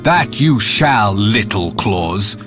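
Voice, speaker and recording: A man voicing a brutish, vengeful peasant in a storybook reading, gruff and menacing. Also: lowish voice, animated, takes it slowly, music in the background, voice pushed down.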